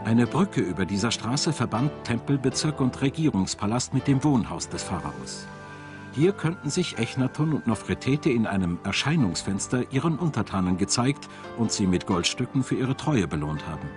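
A man's voice: mid-range voice, very animated, normal speed. A man reading scripted narration for a documentary as a studio voice-over. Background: music.

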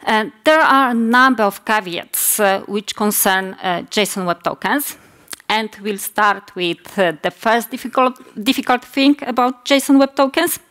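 Woman, 40s, Polish accent, non-native speaker; unhurried, hesitant conference presenter with many self-corrections. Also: on the high side, a bit bright. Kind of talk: technical conference talk. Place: large conference hall stage.

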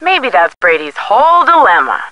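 A woman's voice: mid-range voice, sing-song, normal speed, no room sound, highs cut off, close to an everyday voice. An actress playing a detective's chatty, witty young female friend in a video game, with a playful, teasing manner. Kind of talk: scripted video game dialogue.

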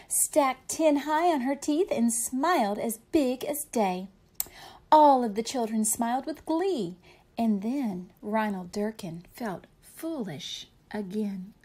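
A woman's voice: on the high side, dark tone. A woman reading a picture book aloud expressively for young children, explaining things simply like a teacher.

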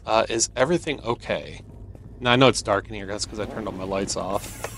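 A man's voice: lowish voice, a little fast. Man doing casual game commentary over noisy ambience. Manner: thinks aloud, asking himself questions. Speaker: gamer playing and commenting on a video game.

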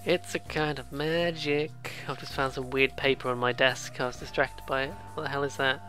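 Bright-voiced, excitable let's player. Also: medium pitch, normal speed, soft background music. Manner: rambling, excitable, jokey asides. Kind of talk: casual game commentary, reading on-screen text aloud.

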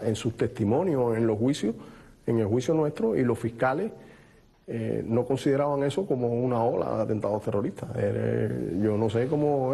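A man's voice: mid-range voice, vocal fry, dark tone, slightly rough. A man speaking as a guest in a studio TV interview, hesitant with many fillers.

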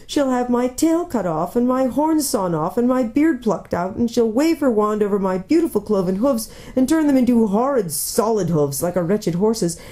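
A woman voicing a gentle, guilt-ridden male fawn in a children's storybook, woeful and full of self-reproach. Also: a little high, animated, fairly quick, pitched above the performer's own voice, close to an everyday voice. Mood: tearful dread. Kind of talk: dramatised children's storybook reading.

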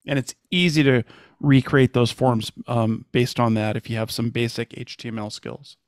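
A man's voice: medium pitch, fairly even intonation, normal speed, no echo, clean studio sound. A middle-aged man explaining radio procedures as a co-presenter on a video call. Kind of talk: explanatory talk.

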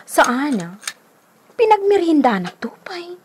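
A woman acting as a nosy, chatty Filipino neighbourhood friend in a radio drama. Her high voice swings in a lively way, with clear noise behind it. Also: takes it slowly, full character voice. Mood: gossipy.

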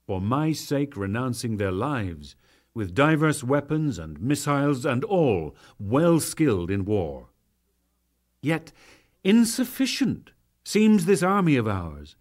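A man giving a solemn, declamatory dramatic reading of ancient sacred verse as an audiobook narrator. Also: medium pitch.

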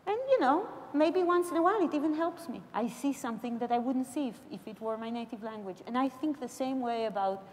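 A woman's voice: sits high, a little fast, few pauses, a little mellow. A middle-aged woman whose native tongue is Hebrew, speaking English with a Hebrew accent as a panelist in a reflective, emphatic way, miked on an auditorium stage. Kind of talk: panel discussion. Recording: reverberant auditorium sound.

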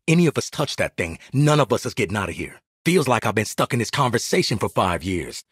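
An actor voicing a blunt, impatient assassin in a video game, sarcastic and commanding, with an American accent. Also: male voice, lowish voice, big pitch swings, brisk, fairly bright tone, breathy edge, no room sound, studio quality, full character voice. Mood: irritated, sardonic. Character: gruff, sardonic, impatient adult male assassin. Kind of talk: video game character dialogue.

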